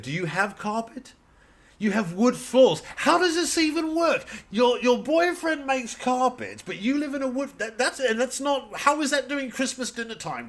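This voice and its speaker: A man's voice: high-pitched, fairly bright tone, voice pushed up, full character voice. A man playing an incredulous, prying stand-up comedian who stammers through baffled questions in a parody of crowd work. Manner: stammering, repetitive, exasperated questioning.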